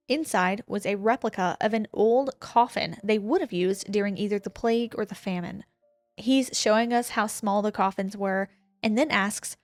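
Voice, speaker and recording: A woman co-hosting a paranormal podcast, reading a listener's ghost story aloud with expressive emphasis. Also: medium pitch, no room sound. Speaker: podcast co-host.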